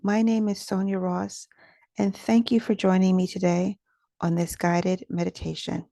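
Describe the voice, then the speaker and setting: A woman guides a meditation in a relaxed, warm voice that sits a little low. Her delivery is gentle and soothing, with soft pauses and a noticeable vocal fry as she gives each instruction. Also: no room sound, studio quality. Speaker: guided meditation teacher and host.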